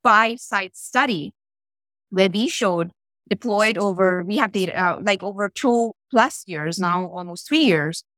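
A woman physician talking in an interview, quick and earnest, with many fillers. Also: mid-range voice, fairly bright tone, no room sound. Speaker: physician founder guest. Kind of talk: interview talk.

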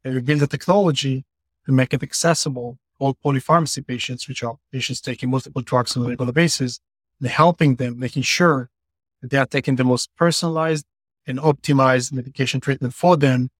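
A man's voice: mid-range voice, steady tone, a little fast, breathy edge, no room sound. Casting: male CEO from Israel, mid voice, earnest, filler-heavy, dry call sound. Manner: earnest explaining with many fillers. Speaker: company CEO guest. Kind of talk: podcast interview. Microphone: remote call.

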